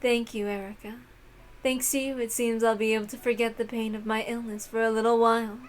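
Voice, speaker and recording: A woman voicing a cruel, imperious witch mistress who speaks in a languid, haughty, measured way. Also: on the high side, little pitch movement, close to an everyday voice. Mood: pleased, languid.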